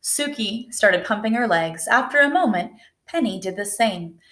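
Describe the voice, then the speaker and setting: A woman reading a children's picture book aloud in a gentle, unhurried way. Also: medium pitch, lively intonation. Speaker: storybook reader. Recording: echoey room.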